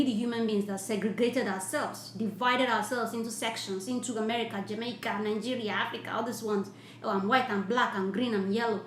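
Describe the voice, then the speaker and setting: A woman hosting a live stream, reading viewer comments aloud and talking earnestly about charity and loving people. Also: on the high side. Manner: warm, effusive, blesses viewers at length; earnest, repetitive preaching tone. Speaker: livestream host. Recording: echoey room with faint ambient noise.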